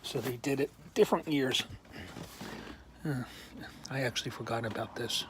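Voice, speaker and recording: A man slowly showing off his toy collection in a casual video, pausing often with ums and clearing his throat while losing his voice. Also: mid-range voice, background noise. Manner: slow, halting, many ums and uhs, frequent throat clearing. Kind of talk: casual show-and-tell.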